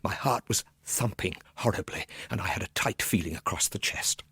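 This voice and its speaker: An actor playing a nervous, gloomy Victorian gentleman telling a ghost story in a theatrical radio monologue, full of hushed dread and sudden swells. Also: sits low, moderate pace, slightly rough, no echo, full character voice. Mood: agitated, haunted.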